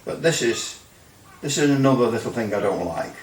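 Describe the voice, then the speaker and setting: A man's voice: medium pitch, animated, moderate pace. A man giving a casual hands-on demonstration of radio equipment, rambling and hesitant with grumbling asides. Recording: roomy, distant mic, faint noise.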